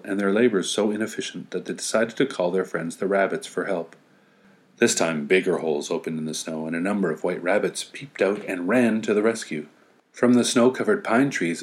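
A man reading a story as narration, with wry, playful asides. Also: sits low. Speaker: story reader.

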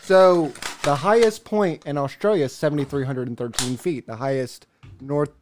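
A man's voice: fairly high pitch, normal speed, noisy background. Young man rattling off facts and figures. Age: 20s. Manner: argues facts, looks things up, swears casually. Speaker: podcast co-host. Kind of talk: casual chat.

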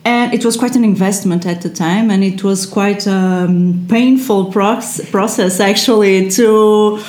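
A woman's voice: medium pitch, unhurried, darkish tone. Lady in her 40s chatting on a podcast, lots of ums, echoey room. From Portugal. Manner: chatty, frequent fillers and restarts, laughs often. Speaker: guest, SEO translator. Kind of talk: casual interview chat.

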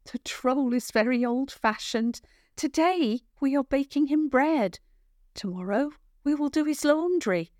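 Storybook village girl, voiced by a woman: high, bright-spirited young voice, brisk, bossy and sure of herself. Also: expressive, no echo, clean studio sound. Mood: brisk, confident. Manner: brisk, matter-of-fact, bossy.

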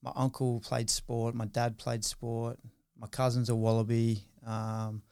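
Australian man, thirties, interview guest, smooth clear voice, dry close sound, rambling with lots of ums. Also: mid-range voice, monotone, a little slow, a little mellow, dry recording. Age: 30s. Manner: rambling, many fillers and restarts. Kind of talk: casual interview.

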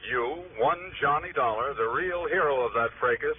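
Actor as hearty American federal agent, old radio. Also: male voice, medium pitch, normal speed, narrow, tinny audio, lightly acted. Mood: teasing, mock-stern. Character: hearty, grateful, teasing male federal agent. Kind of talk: 1960s radio drama acting. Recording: thin, faintly noisy vintage radio recording.

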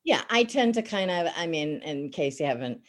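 Brief: middle-aged woman, raspy, sarcastic, fairly low voice, chatty interview guest. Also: expressive, normal speed. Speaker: voice actor and teacher. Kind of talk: casual interview chat.

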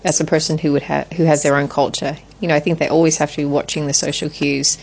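A woman in her forties, a guest in an interview, talking in a thoughtful, measured way with frequent restarts. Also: sits low, flat delivery, creaky, a little mellow. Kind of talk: reflective interview conversation.

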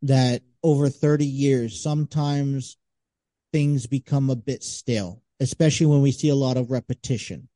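A man's voice: mid-range voice, steady tone, normal speed, dark tone, dry recording, studio quality. A man speaking as a podcast guest, discussing sports casually in a conversational way with emphatic asides.

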